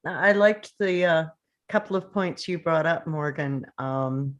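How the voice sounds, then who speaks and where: A woman's voice: low voice, unhurried, lo-fi sound. A woman actor talking casually and reflectively in a group discussion. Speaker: actor in a table read.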